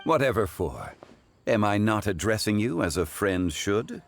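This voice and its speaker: A calm, ancient gentleman. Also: on the low side, soft background music. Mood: composed. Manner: measured, formal, philosophical.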